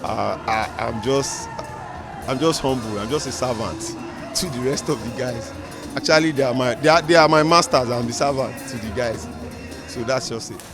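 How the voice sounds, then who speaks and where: A man with a Nigerian accent speaking as a producer and director in an interview, in emphatic, deliberate short phrases. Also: mid-range voice. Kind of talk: event interview remarks. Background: music.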